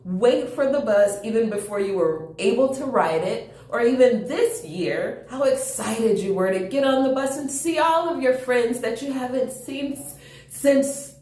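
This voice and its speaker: A woman reading a children's story aloud with enthusiastic, expressive delivery and chatty asides to her young listeners. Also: fairly high pitch, a little slow. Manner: expressive, enthusiastic, chuckles often. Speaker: storytime reader. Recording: roomy, echoing room.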